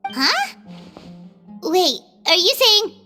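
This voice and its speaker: Tiny excitable game fairy girl, super squeaky high, swooping all over, music behind. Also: drawn-out words, exaggerated voice. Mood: excited. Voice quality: squeaky, childlike. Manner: exclamatory, speaks of self in third person. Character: small floating childlike fairy companion, excitable, curious girl. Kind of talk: video game character acting.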